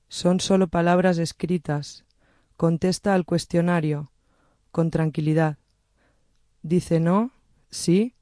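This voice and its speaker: A speaker reciting a poem in a measured, soft-spoken way. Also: medium pitch, no echo, clean studio sound. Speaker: poetry reader. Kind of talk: slow poetry recitation.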